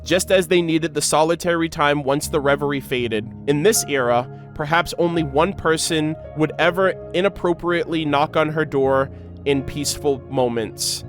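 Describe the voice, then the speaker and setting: A man with a fairly high voice talks as the host of a game reaction video. He moves between commentary and reading lore aloud, with music playing behind him. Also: moderate pace.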